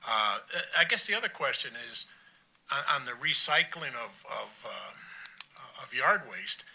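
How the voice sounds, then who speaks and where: A man at a council work session asking questions, halting and thinking aloud. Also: medium pitch, very animated, vocal fry, pushed and bright, low-quality recording. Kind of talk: public meeting questions.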